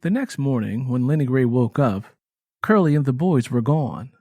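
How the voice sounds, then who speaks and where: A man's voice: mid-range voice, animated, moderate pace, darkish tone, no room sound. A man reading dramatic scripted narration for an audio drama. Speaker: writer and narrator.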